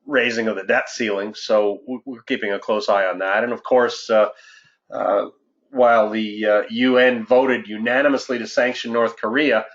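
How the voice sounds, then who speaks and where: A man giving measured, somewhat halting financial market commentary on a conference call as an investment adviser. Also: fairly low pitch, fairly bright tone. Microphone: conference call line. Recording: dull, lo-fi conference call audio.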